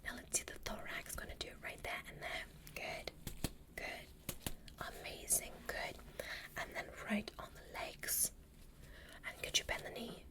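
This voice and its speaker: A woman voicing a calm, curious alien doctor in an ASMR roleplay, speaking in a gentle, breathy whisper with an unhurried, formal manner. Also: lightly acted. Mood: curious, clinical. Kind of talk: ASMR medical exam roleplay.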